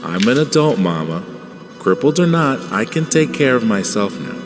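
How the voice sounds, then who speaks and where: An actor playing an earnest, devoted grown son in a video game, speaking reassuringly. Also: male voice, medium pitch, very animated, normal speed, close to an everyday voice. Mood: earnest, loving. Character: earnest, devoted adult son, independent-minded. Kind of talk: naturalistic video game acting. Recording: long reverb under clear music.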